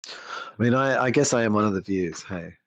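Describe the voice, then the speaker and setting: A man's voice: lowish voice, normal speed, dry recording. A man co-hosting a casual, absurd chat show, rambling and restarting his sentences. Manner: rambling, hesitant, lots of restarts. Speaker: co-host. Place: home setup, streamed show.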